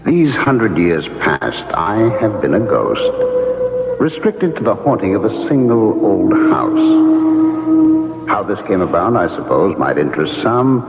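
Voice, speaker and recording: An actor playing a courtly hundred-year-old ghost who tells his tale formally and with measured, old-fashioned phrasing in a radio drama. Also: on the low side, wildly swooping pitch. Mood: stately, measured. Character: courtly century-old male ghost haunting an old house. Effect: heavy ghostly reverb. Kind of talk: 1970s radio drama narration. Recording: muffled old radio broadcast, long reverb, music.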